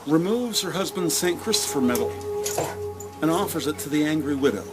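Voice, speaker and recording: A man with a slightly high voice delivers scripted scene narration for a radio drama. Music plays clearly underneath his descriptive reading. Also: animated, a little fast.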